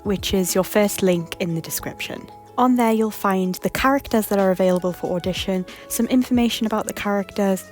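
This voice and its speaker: A woman's voice: medium pitch, a little mellow. A woman reading a scripted announcement as a project creator, in a polite, explanatory way. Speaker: creator announcing a voice casting call. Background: background music.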